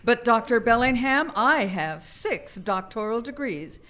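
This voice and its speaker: A bossy, brainy wife, acted by a woman, swooping widely in a slightly high voice. She is commanding and proudly boastful, in theatrical radio comedy style performed live on stage. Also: expressive, measured pace, a bit bright. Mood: smug. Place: theater stage before an audience.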